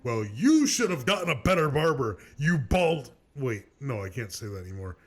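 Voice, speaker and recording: A man playing a defensive, aggrieved loudmouth in a scripted web cartoon, whiny and argumentative. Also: medium pitch, big pitch swings, moderate pace, soft background music. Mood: indignant.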